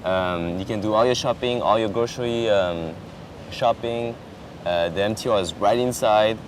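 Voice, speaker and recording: A man's voice: lowish voice, moderate pace. A young man with French as his native language, an exchange student chatting casually outdoors on a campus lawn, full of fillers and restarts. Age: young adult. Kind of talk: casual chat. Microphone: camera at a distance. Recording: outdoor traffic noise behind.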